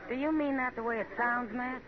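A woman's voice: a little high, steady tone, brisk, close to an everyday voice. A woman with an American accent playing a friendly, worldly saloon woman in a 1950s radio western. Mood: concerned. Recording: muffled, noisy old radio broadcast.

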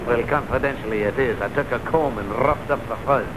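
A man's voice: medium pitch, a little fast, close to an everyday voice. An actor playing a jovial, thrifty Scotsman in a 1940s radio comedy. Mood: proud, sly. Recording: noisy old radio broadcast recording.

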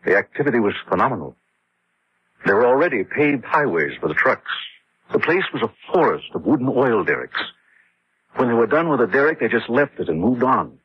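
An actor playing a sober engineer who tells of an eerie ordeal in a matter-of-fact, measured way in an old-time radio drama. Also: male voice, medium pitch, very animated, breathy edge, dry recording. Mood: grave, matter-of-fact. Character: sober male oil-field engineer recounting an eerie ordeal. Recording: dull lo-fi old radio recording.

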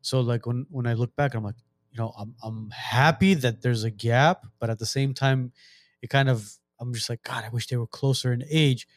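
A man's voice: medium pitch, steady tone, moderate pace, no room sound. Male co-host, thirties; easygoing and rambling, often restarts sentences; mid-range voice, dry recording. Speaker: podcast co-host. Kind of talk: casual podcast chat.

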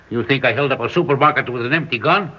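A man's voice: medium pitch, fast, breathy edge, lightly acted. A man acting a menacing, sardonic armed robber in a classic radio drama. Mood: taunting, sardonic. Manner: taunting, threatening, sardonic. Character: menacing male armed robber, cynical, threatening. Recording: dull old radio drama recording.

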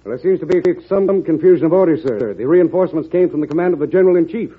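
A man's voice: a little high, fast, husky, phone-quality sound. A man playing a respectful, slightly nervous army captain in a vintage radio drama, with hesitant military formality. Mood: dutiful. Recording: thin, noisy old radio broadcast.

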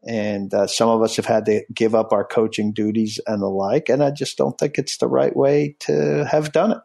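A man's voice: fairly low pitch, steady tone, normal speed, vocal fry, a little mellow, breathy edge. Blunt, rambling middle-aged male podcast host. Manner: blunt, rambling, with many restarts and fillers. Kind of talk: casual podcast chat.

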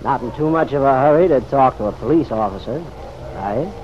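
An actor playing a folksy, slyly probing police officer in an old radio drama, with wry, slangy, unhurried questioning. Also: male voice, mid-range voice, animated, close to an everyday voice. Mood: probing, casual. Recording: dull, noisy old radio recording.

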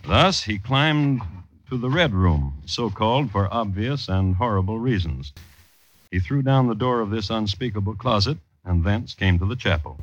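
A man narrating an old-time radio crime drama as a droll storyteller, measured, formal and dry. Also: lowish voice, lively intonation. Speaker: narrator and host. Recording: dull old radio recording, music behind.

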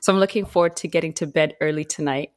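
A woman's voice: deep voice, fast talker. Tired woman on a podcast. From Zimbabwe. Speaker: podcast guest, mining engineer. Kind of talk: casual interview chat.

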